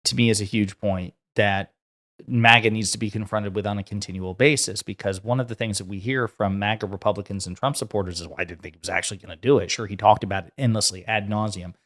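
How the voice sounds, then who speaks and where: A man's voice: on the low side, steady tone, quick pace, fairly bright tone. An American man hosting political commentary, talking casually in an emphatic, argumentative way. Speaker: host commentator.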